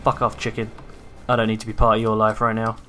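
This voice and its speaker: Brief: male gaming commentator, slightly raspy, hyped, foul-mouthed, faint music underneath. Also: fairly low pitch, fast talker. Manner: excitable, swears freely, hypes viewers. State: slightly raspy from long talking. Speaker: gaming YouTuber. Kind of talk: casual gameplay commentary.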